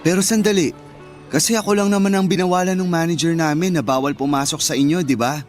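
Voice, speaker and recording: A man's voice: a little high, steady tone, fast talker, lightly acted. Male actor as young worker and boyfriend, conversational and protesting, radio drama, light music bed. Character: frustrated young male fast-food worker, devoted boyfriend.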